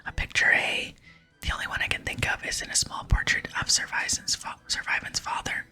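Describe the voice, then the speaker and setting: A woman whispering in ASMR style, softly and slowly reading video game dialogue aloud. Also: faint music behind. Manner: soft, slow, hushed reading. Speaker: ASMR gaming channel host.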